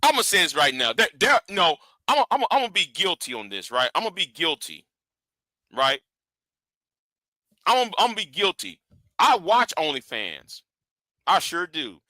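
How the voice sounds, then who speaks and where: A man's voice: high-pitched, big pitch swings, normal speed, long pauses, bright, forceful tone, no room sound. A man in his forties, a live stream host, rambling in casual commentary with lots of stammered repeats. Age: 40s.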